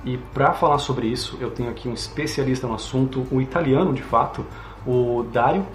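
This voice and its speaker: A man's voice: mid-range voice, fairly quick, reverberant, slight background noise. A Brazilian man hosting a casual interview chat, explaining things in a friendly way. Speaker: host.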